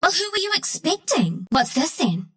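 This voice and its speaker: A woman's voice: very high-pitched, big pitch swings, moderate pace. A woman voicing a cheeky, scrappy little female woodland critter bandit for a video game, with an Antipodean accent and cocky, slangy delivery. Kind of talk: video game character voice lines.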